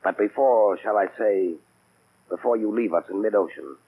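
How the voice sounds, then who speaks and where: A man's voice: fairly low pitch, moderate pace, breathy edge. An actor playing a suave, coldly menacing male pirate villain in an old radio drama, with silky, formal threats. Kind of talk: 1930s-40s radio drama acting. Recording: muffled, narrow old radio recording.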